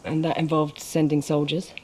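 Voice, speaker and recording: An actress playing an inquisitive female journalist who asks measured, probing questions in a game cutscene. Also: deep voice, flat delivery, dark tone, slight background noise, natural voice. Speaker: interviewer. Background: music.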